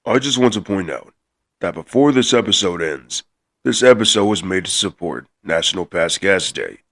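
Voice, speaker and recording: A man's voice: low-pitched, fairly bright tone, heavily acted. A man voicing a big, brash, hot-headed and shameless gorilla in a scripted comedy cartoon. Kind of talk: scripted comic cartoon dialogue.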